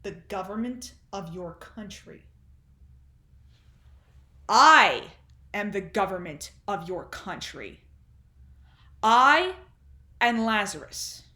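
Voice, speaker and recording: A woman's voice: a little high, very animated, a little slow, long pauses, reverberant. A woman playing a cynical, cruel arms-tycoon father in a stage monologue, with mocking, pompous, theatrical delivery. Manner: sneering, pompous, theatrical oratory.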